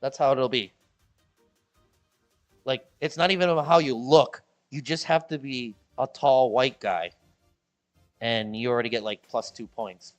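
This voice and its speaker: A man from Chicago with a Midwestern American accent, chatting casually and jokily to his stream viewers, rambling and repeating himself. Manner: rambling, jokey, repeats himself, mimics people in high voices. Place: the Philippines.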